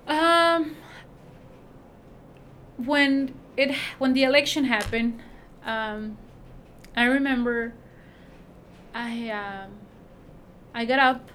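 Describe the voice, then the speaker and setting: A woman with a Mexican accent who works at a school, answering interview questions in a halting way, with many fillers and restarts. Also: fairly high pitch, fairly bright tone, a little hiss or hum. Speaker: school staff member.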